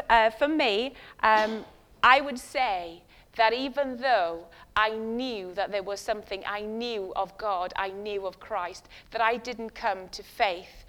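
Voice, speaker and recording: A woman's voice: mid-range voice, fairly bright tone. A middle-aged woman from West Wales with a Welsh accent, a first-language Welsh speaker, giving a chatty, self-deprecating sermon with many fillers and restarts. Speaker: guest speaker.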